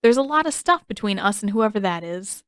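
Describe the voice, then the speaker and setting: A woman's voice: mid-range voice, animated, a little fast, dry recording, studio quality, close to an everyday voice. A woman voicing an eager, good-natured young boy in a story reading, earnest and a little defensive. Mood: protesting. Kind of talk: character voice in a fan fiction reading.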